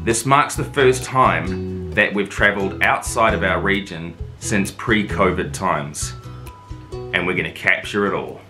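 A man's voice: deep voice, unhurried. A man in his thirties filming an upbeat travel vlog at arm's length outdoors, with music underneath. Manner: upbeat, excitable, talks to camera and dog. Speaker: vlogger. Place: outdoors, fields and roadside. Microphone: camera held at arm's length.

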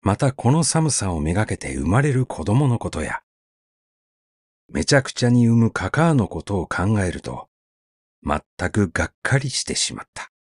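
A man's voice: lowish voice, sing-song, moderate pace, breathy edge, no room sound, clean studio sound. A man narrating a short story as a scripted audiobook reading, telling it expressively. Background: faint background music.

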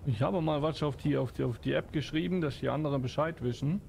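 A man with a gravelly, slightly high voice chats in character in an online role-play game. He speaks hesitantly, often checking with a short tag question, over faint noise. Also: fast, darkish tone. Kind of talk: improvised in-character chat in a survival role-play.

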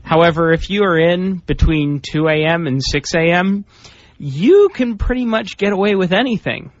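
A man's voice: high-pitched, moderate pace, no room sound. A man co-hosting a radio show with casual, wisecracking banter. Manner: wry, wisecracking. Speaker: radio co-host. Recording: dull lo-fi radio recording.

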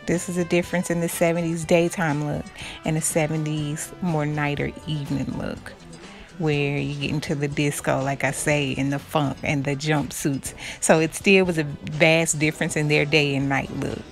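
Hoarse woman, soft near-whisper. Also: low voice, flat delivery, moderate pace, faint music behind. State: hoarse, losing her voice. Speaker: beauty vlogger. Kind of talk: casual makeup tutorial. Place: small dark room indoors. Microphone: camera close to the face.

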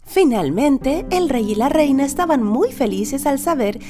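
A woman reading a children's storybook as narrator, with expressive scripted delivery. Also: sits high, a little slow. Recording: music behind the voice.

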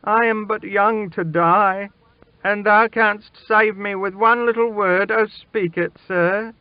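A man's voice: fairly high pitch, takes it slowly, no echo, lo-fi sound, voice pushed up. A man voicing a humble young pauper boy, frightened and reverent, pleading in old-fashioned, archaic speech. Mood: desperate pleading.

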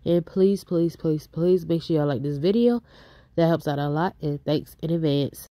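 Stuffy-nosed woman gossiping fast. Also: sits low, warm tone, no room sound. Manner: chatty, fast, reads out posts. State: stuffy nose, a bit out of breath. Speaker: YouTube host. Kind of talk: casual celebrity gossip commentary.

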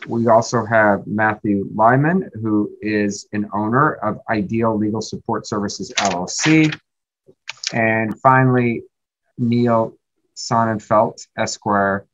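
A middle-aged American man chairing a hearing over a video call, haltingly reading formal introductions from notes. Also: lowish voice, dull audio. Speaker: committee chair opening a hearing. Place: indoor office, on a video call.

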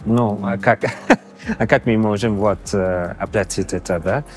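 A man in his thirties from Canada with a Canadian accent, speaking a learned second language with pauses and fillers in an interview. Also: fairly low pitch, faint music behind. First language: not Russian. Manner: halting, searching for words with many fillers. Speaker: interview guest. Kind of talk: casual interview answers. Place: exhibition hall indoors. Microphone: lapel.

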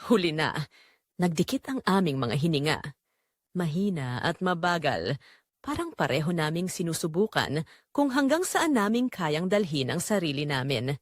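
A woman's voice: on the low side, dry recording, studio quality. A woman narrating a scripted first-person dramatic story.

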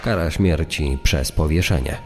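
A man performs a grave official-sounding reader for a true crime podcast. The voice is very low and mellow, formal in delivery. It rings with a long reverb. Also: unhurried. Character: grave male reader of case findings and verdict. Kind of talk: dramatized scripted true crime narration. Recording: long reverb over music. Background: music.

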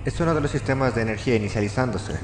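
A young man playing a cocky, wry corporate employee in a Latin American Spanish video game fan dub, joking and expressive. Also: medium pitch, racing, background noise, lightly acted. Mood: weary, focused. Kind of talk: amateur fandub of a video game. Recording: echoey room, music over the voice.

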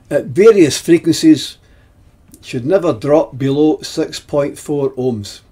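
A man in his seventies giving an explanatory technical lesson in a deliberate, halting way, with pauses between phrases and a husky voice. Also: fairly high pitch, dark tone. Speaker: presenter of an instructional video.

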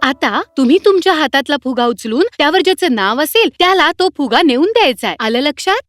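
A woman voicing a kind, eager young princess who teaches children in an animated fairy tale. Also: high-pitched, fairly quick, no echo, clean studio sound. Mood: bright, encouraging. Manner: encouraging, addressing children. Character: earnest young princess turned new schoolteacher, kind and eager.

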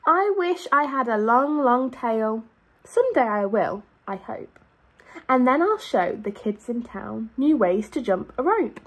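A young woman reads a children's story aloud in a high voice. Her pitch moves in big, lively swoops. She speaks like a primary teacher, stressing and lengthening key words so that young listeners can follow. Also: husky, poor audio. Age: young adult. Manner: expressive, drawn-out emphasis on key words.

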